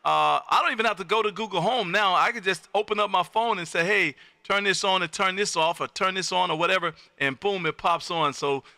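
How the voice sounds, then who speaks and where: Bright, high-pitched man hosting ham radio. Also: a little fast, creaky, hardly any pauses, no room sound. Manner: loud, folksy, says "man" often. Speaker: amateur radio operator and livestream host. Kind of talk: casual ham radio chat. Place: home radio room.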